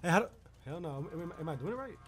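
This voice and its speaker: A man's voice: fairly high pitch, lively intonation, rapid-fire, soft background music. A man streaming an online role-play game, chatting casually in street slang and firing off repeated phrases. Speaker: streamer role-playing a gang member. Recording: noisy gaming headset microphone.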